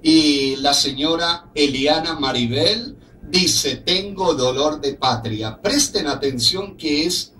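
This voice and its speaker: A man's voice: a little high, takes it slowly, few pauses, bright, forceful tone, distant mic, a little hiss or hum, poor audio. A middle-aged man from Colombia hosting a radio talk show, reading a letter aloud in an earnest, indignant tone from a small office studio. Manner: earnest, indignant, speaks as a compatriot. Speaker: radio host.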